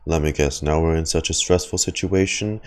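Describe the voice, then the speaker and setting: A man's voice: rumbling low, fairly quick, darkish tone. A man streaming a casual game reaction, making wry muttered asides.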